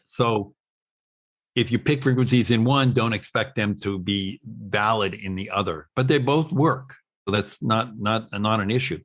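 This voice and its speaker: A man with a fairly low voice teaches in an online technical session. He explains step by step in a halting manner, often repeating a word before he moves on. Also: fairly bright tone. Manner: halting, with repeated words and stop-start phrasing. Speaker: instructor presenting at an online summit. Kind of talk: technical explanation, webinar talk.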